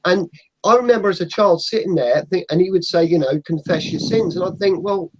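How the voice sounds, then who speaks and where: Emphatic man from Portugal, higher voice. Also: fairly quick, background noise. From Portugal (Madeira). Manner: emphatic, passionate, says mate. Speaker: guest on an online hangout. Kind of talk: casual group chat.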